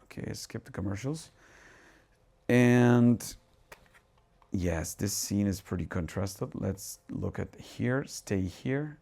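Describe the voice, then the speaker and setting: A man from Turkey with a Turkish accent, a tech reviewer talking casually through settings as he changes them, thinking aloud with restarts and asides in a dark home cinema room. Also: fairly low pitch, slow, vocal fry. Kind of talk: casual live product demo.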